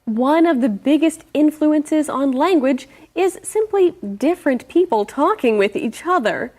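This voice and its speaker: High-voiced woman presenter. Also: expressive, a little slow, a little mellow. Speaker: segment presenter. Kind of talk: scripted narration.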